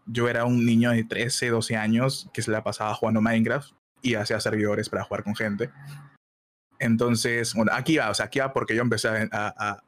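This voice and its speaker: Laid-back young Peruvian man. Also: mid-range voice. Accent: Peruvian Spanish with Mexican touches. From Peru. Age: 20s. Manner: laid-back, laughs often, uses slang. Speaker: guest, illustrator. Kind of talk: casual chat on a stream.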